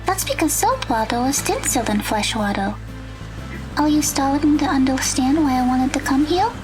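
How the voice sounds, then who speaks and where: A woman playing a gentle, earnest and eager teenage girl in an audio drama. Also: high-pitched, lively intonation, normal speed, music in the background, rough recording.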